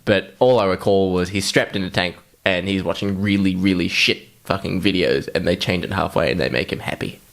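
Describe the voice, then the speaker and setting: A man's voice: low-pitched, fairly quick, hardly any pauses, fairly bright tone. A man co-hosting a podcast, chatting casually and comically about films in a blunt, sweary way. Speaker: podcast co-host. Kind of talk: casual comedic film chat.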